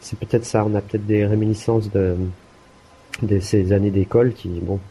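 Hesitant low-voiced man. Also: level tone, moderate pace, mellow, rough recording. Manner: hesitant, many restarts and fillers; occasional throat clearing. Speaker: adult language learner. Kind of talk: casual conversation.

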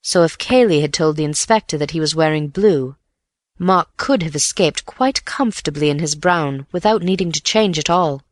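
Measured young male detective in an audiobook, voiced by a woman, low-set and dry. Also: no echo, natural voice. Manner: calm, measured, reasoning. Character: calm, thoughtful young man detective. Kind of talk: audiobook character voice.

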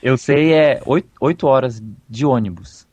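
A man's voice: medium pitch, moderate pace, a little mellow, dull audio. Brazilian man with a slight southern accent. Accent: slight southern Brazilian Portuguese. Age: young adult. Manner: hesitant, repeats words while searching. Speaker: interviewee. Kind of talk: casual conversation.

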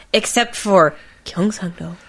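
A woman's voice: medium pitch, vocal fry, pushed and bright, husky. A woman hosting a podcast in casual conversation, with a playful, teasing manner. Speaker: podcast host.

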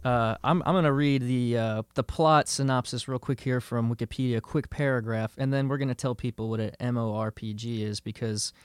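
A man co-hosting a casual podcast, a little under the weather so his voice sits lower, jokey and quick to laugh. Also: fairly even intonation, smooth, no echo, studio quality. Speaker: podcast co-host.

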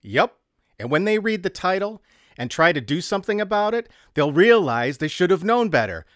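A man's voice: sits high, very animated, moderate pace, no room sound, studio quality, voice pushed up. A man narrating a story as a wry, teasing male prankster artist with a provoking smart-aleck manner. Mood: scheming.

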